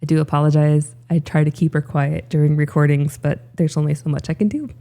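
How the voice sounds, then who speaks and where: A woman with a low, mellow voice and a light Texan accent talks straight to the camera from her home. She explains things in an earnest, chatty way, thinking aloud as she goes. She sounds like a woman in her thirties hosting her own study series. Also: fairly even intonation, brisk. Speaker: Bible study video host. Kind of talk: casual explanatory talk to camera. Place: at home, seated by a dog door.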